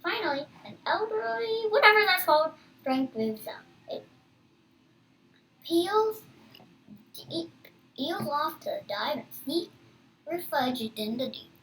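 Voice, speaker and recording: A child reading a book aloud with casual asides, halting and stumbling over hard words. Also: very high voice, very animated, fairly bright tone, far from the mic, a little hiss or hum, poor audio.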